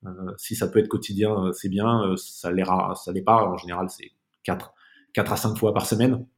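Male podcast guest, lowish voice, reflective and conversational, gropes for words with frequent repeats. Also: steady tone, moderate pace. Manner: hesitant, searching for words, repeats words. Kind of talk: casual reflective interview conversation.

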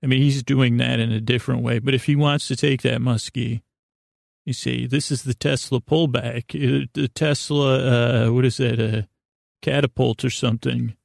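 A man with a gravelly, fry-laden voice hosts a sleep podcast in a dry, close recording. He meanders through a comedic monologue, stopping and drifting off mid-thought. His pitch sits in an ordinary male range. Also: fairly even intonation, dry recording. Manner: meandering, halting, easily distracted, trailing off. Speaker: podcast host. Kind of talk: rambling comedic bedtime monologue.

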